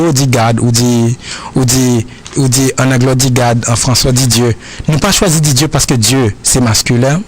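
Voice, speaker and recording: Earnest Haitian man answering questions. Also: medium pitch. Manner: earnest, repetitive, halting phrasing.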